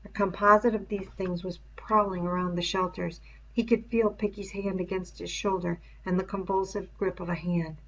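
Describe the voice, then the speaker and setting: A woman narrating a novel aloud in an expressive, literary storytelling style. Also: lowish voice, moderate pace, muffled recording, slight background noise.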